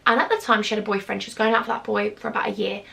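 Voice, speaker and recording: A woman's voice: medium pitch, flat delivery, rapid-fire, vocal fry, fairly bright tone. A young British woman telling a personal storytime on her vlog, chatty and confiding with sarcastic asides. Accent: British English. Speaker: YouTuber.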